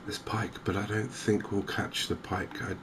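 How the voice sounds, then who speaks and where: A man giving softly spoken, gentle ASMR game commentary in an unhurried, hesitant way. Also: lowish voice, steady tone, noisy background. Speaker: host of a cozy gaming channel.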